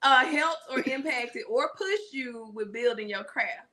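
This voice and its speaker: A woman's voice: a little high, unhurried, pushed and bright. A woman with a Southern American accent hosting a casual interview, encouraging and giggling often. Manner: encouraging, giggly, self-described country-sounding. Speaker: interview host.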